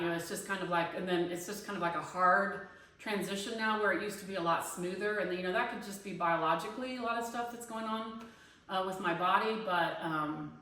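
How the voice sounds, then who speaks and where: Bright-voiced woman in her fifties, echoey room. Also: lowish voice, fairly even intonation, moderate pace, distant mic. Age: 50s. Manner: conversational, lots of fillers and restarts, thinking aloud. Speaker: online voice pedagogy student and singing teacher. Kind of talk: casual spoken class discussion post. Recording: very echoey room, away from the mic.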